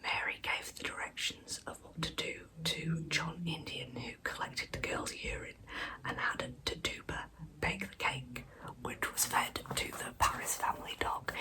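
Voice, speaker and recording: A woman narrating true crime as ASMR in a soft, breathy whisper, reading in a measured way with wry, informal asides. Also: deep voice, steady tone, rough recording. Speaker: ASMR storyteller and channel host.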